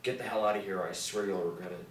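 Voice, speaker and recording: A man acts out a tense, angry middle-aged husband in a drama script reading. His voice is low, heard in a roomy space from a distant mic. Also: steady tone, rapid-fire, a little hiss or hum, natural voice. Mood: angry, nervous. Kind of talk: script table read. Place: small room indoors.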